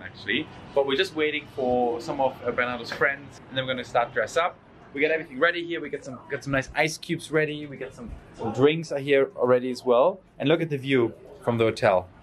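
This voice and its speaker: A man's voice: medium pitch, fairly bright tone. A man talking casually and chattily to his viewers as a vlogger. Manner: chatty, addresses viewers directly. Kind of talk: casual vlog talk to camera. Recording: noisy on-location audio with vehicle ambience.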